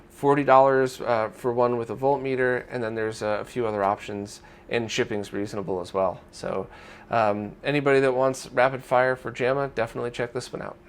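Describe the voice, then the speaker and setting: A man with an average-pitched voice hosts a news roundup. He speaks informally and conversationally, addressing viewers directly as he moves through updates and offers his own opinions. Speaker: host of a weekly retro gaming news roundup.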